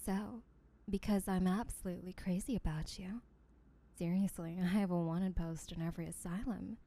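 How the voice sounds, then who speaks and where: A woman's voice: on the low side, steady tone, moderate pace, dark tone. A woman playing an obsessive, boastful yandere sibling in a comedic audio roleplay, rambling in a smug, teasing, sweetly menacing way.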